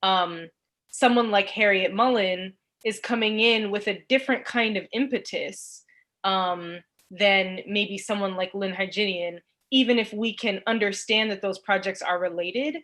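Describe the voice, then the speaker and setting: A woman's voice: mid-range voice, unhurried, a bit bright. A woman speaking as a panelist in an unscripted academic discussion, thoughtfully searching for her words. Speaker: literature course panelist and teacher.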